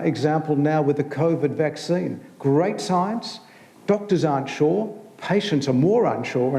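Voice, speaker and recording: Gravelly-voiced man in a hall. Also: fairly high pitch, warm tone. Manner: measured, explanatory, frequent restarts. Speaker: clinician and researcher on a panel. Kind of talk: panel discussion answer. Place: large hall. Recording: echoey hall.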